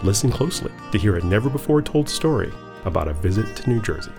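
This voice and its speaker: A man narrating a podcast introduction in a measured, announcer-like scripted style. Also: on the low side, very animated, creaky. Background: guitar music.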